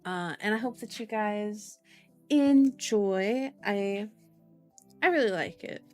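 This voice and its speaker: A woman's voice: medium pitch, slow pace, a little mellow, soft background music. A sleepy woman hosting an ASMR stream, chatting casually to viewers in a cutesy, playful, rambling way.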